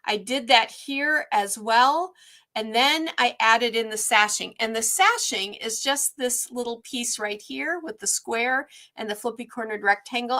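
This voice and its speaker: Warm-up host for a craft stream: woman, a little hoarse, upbeat, filler-heavy, bright tone. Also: fairly high pitch. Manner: chatty, upbeat, frequent ums. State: slightly hoarse. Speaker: quilting workshop host. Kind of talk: live online quilting tutorial.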